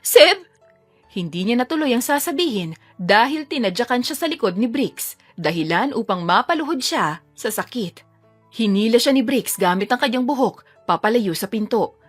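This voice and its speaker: Female audio drama narrator, fairly high voice, scripted storytelling over a music bed. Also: animated, normal speed.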